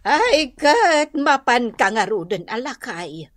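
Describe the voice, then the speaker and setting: Nagging, bossy Ilocano farm wife, high animated voice, close dry sound, radio drama. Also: female voice, slow, dry recording. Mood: nagging, insistent.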